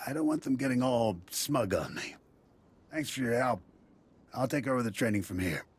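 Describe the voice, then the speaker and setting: A dour desert militia commander in a video game. He has a husky, mid-pitched voice and gives orders plainly, like a seasoned fighter. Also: lively intonation, moderate pace. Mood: gruff approval.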